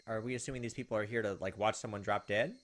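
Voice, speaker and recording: Low-voiced, fast-talking male gaming commentator. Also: fairly even intonation, a bit bright, a little hiss or hum. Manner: casual. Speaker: gaming video host. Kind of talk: let's play commentary mixed with reading game text aloud.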